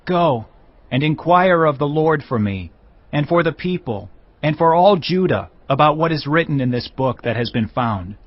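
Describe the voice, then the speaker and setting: A man's voice: on the high side, lively intonation, moderate pace, no echo. A man playing an earnest, commanding king of Judah with formal, emphatic delivery in a scripture drama. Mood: grave urgency. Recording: slightly dull, muffled audio.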